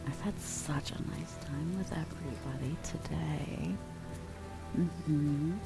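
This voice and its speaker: Young woman streamer, ASMR chat: very deep and gravelly, slow and unhurried, gentle whispery asides, soft music underneath. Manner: relaxed, affectionate, reads and answers chat; drifts between soft talk and whispers.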